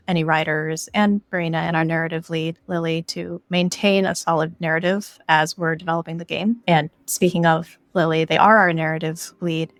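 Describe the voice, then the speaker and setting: A woman's voice: fairly low pitch, no room sound. A woman giving explanatory answers as an interview guest. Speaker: interview guest, game editor.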